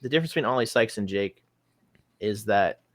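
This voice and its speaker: A young man talks casually on a podcast, rambling and correcting himself as he thinks aloud. His voice sits low. The close mic gives a dry sound with no room echo. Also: animated, brisk. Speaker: musician guest.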